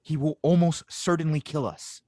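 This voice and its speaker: A man's voice: a little high, fairly even intonation, moderate pace, dark tone, no echo, lightly acted. Man playing a dry-sounding, earnest young inventor. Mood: frustrated, urgent. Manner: urgent, insistent. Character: earnest, stubborn young male inventor in space. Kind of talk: audio drama table read.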